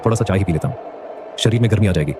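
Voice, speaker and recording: An actor voicing a poor young laborer in an animated story, earnest and pleading. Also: male voice, low voice, racing, music in the background, lightly acted. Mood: shivering.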